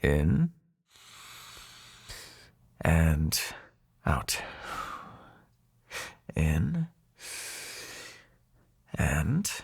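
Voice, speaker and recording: A man acts out a young male dream god in an intimate audio roleplay. His pitch moves in wide, animated swings as he talks straight to the listener, sarcastic and sweary, with a protective, tender streak and a halting conversational delivery. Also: gravelly, husky, exaggerated voice. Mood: apologetic, gentle.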